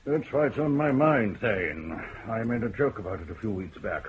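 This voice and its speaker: An actor playing a proud, stubborn widowed doctor, middle-aged, speaking in a measured, authoritative way in a vintage radio play. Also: male voice, lowish voice, lively intonation, lightly acted. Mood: guarded. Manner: measured, authoritative, hospitable but insistent. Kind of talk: 1960s radio drama acting. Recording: muffled old radio broadcast, faint hiss.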